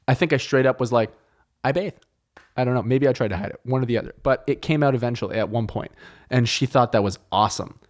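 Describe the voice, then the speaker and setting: A man with an ordinary, middle-pitched voice hosts his own podcast alone, close to the mic. His first language is French. He tells loose, meandering stories in a joking, profane, casual way. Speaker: podcast host. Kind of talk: casual solo podcast rambling. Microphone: close studio mic.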